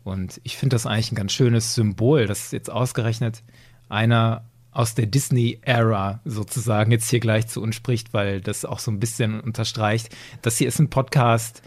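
A man's voice: on the low side, steady tone, normal speed. A man hosting a podcast in an enthusiastic, appreciative, casual chatting manner. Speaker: podcast host. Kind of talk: casual chat.

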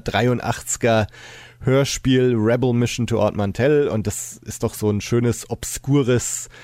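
Guy podcaster just chatting, medium pitch, really dry recording. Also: fairly even intonation, moderate pace, a little mellow, no room sound. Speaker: podcast host. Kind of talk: casual chat.

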